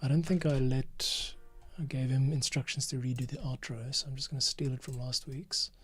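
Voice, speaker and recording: A man's voice: mid-range voice, steady tone, normal speed, fairly bright tone, breathy edge. A man casually talking through his work as an audio editor, muttering to himself as he goes. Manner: thinking aloud, muttering to himself. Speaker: podcast editor. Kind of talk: casual behind-the-scenes commentary while editing audio.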